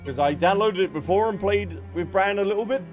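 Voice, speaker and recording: A man's voice: sits high, fairly quick, over music. A man livestreaming a game, chatting casually and playfully as he reads and answers viewers. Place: behind: game music.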